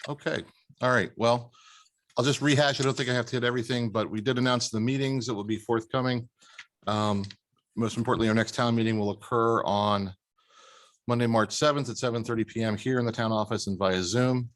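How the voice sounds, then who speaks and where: A man chairing a town board meeting, running through the minutes and votes in a brisk procedural way with fillers. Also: medium pitch, fairly even intonation.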